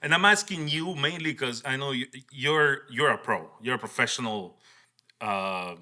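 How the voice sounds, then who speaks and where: A man's voice: mid-range voice, lively intonation, pushed and bright. A man hosting a podcast interview casually, teasing the guest and restarting his questions. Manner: joking, teasing, halting restarts. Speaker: podcast host.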